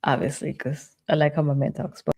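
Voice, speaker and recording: A woman's voice: low voice, level tone, a little fast, gravelly, a little mellow, husky. A woman chatting candidly as a podcast guest, reflective and open about her past relationships. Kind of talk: casual chat.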